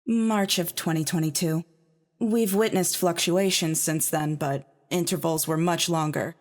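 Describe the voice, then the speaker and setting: A woman playing a composed, reassuring research doctor in a visual novel, speaking in a measured, calm way. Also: lowish voice, natural voice. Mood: businesslike. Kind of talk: dramatic visual novel voice acting.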